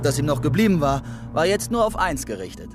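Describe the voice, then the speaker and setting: A man's voice: fairly high pitch, sing-song, fairly quick. A man narrating a literary radio play in a scripted, dramatic reading. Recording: music behind narration.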